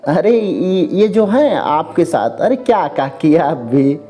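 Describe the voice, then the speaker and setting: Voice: medium pitch, very animated, takes it slowly, mellow, faint music behind. A storyteller voicing a cheeky, lovestruck young son who gets flustered and indignant. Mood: flustered, eager.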